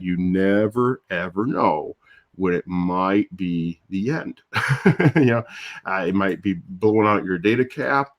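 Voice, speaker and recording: Older guy with a low voice, rambling live stream chat, chuckles a lot, kinda deep. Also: lo-fi sound. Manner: rambling, chuckles often, restarts. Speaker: YouTube host. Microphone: different audio device that lowers voice.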